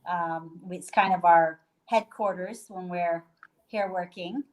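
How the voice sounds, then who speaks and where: A woman's voice: lowish voice, fairly even intonation, takes it slowly. A woman from Puerto Rico talking casually on a video call from a guesthouse bedroom, loose and chatty with many fillers and restarts. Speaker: guest, hostel owner.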